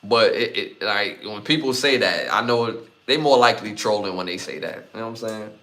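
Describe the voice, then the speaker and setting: A man's voice: on the low side, animated, moderate pace, pushed and bright. A man in his forties hosting a podcast, talking straight to his live audience with emphatic, repeated appeals. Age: 40s. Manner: emphatic, repeats himself, talks to chat. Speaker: podcast host. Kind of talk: solo podcast commentary.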